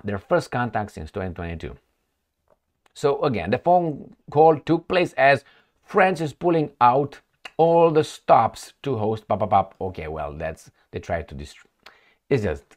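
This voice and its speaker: A man giving casual, sarcastic commentary on news he reads aloud, breaking into asides and mock dialogue. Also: medium pitch.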